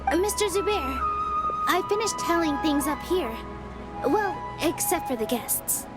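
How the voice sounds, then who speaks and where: A woman's voice: very high-pitched, animated, unhurried. A woman playing a cheerful, modest young dancer in a video game, speaking sweetly, earnestly and politely. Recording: loud game music behind. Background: game music.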